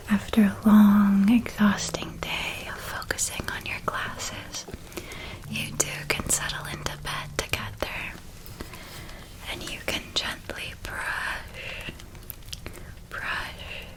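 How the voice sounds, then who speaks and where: Woman acting a softly whispering, doting witch. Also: mid-range voice, close to an everyday voice, poor audio. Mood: soothing. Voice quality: soft, breathy whisper. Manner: slow, tender, affectionate, lingering on words. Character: gentle, doting older witch woman helping with school shopping. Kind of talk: whispered ASMR roleplay.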